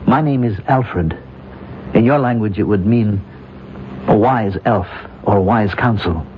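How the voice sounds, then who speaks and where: An actor playing a bewildered modern man trapped in another life, halting, earnest and agitated, in radio drama style. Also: lowish voice, natural voice. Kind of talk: old-time radio drama acting. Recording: muffled old radio recording with noise.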